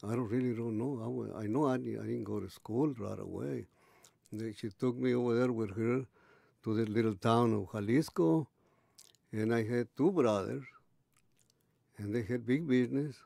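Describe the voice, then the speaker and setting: Elderly man in his eighties, gravelly and mellow, halting storyteller. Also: medium pitch, no room sound, clean studio sound. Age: 80s. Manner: halting, many restarts and pauses. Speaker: interviewee. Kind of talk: oral history recollection.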